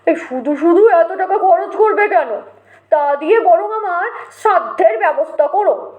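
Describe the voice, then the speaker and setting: মহিলা কণ্ঠে চড়া গলার দেমাকি কবি রামবাবু. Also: female voice, extremely high pitch, animated, a little slow, phone-quality sound, voice pushed up. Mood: huffy. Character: Rambabu, vain grumpy male poet, putting on airs.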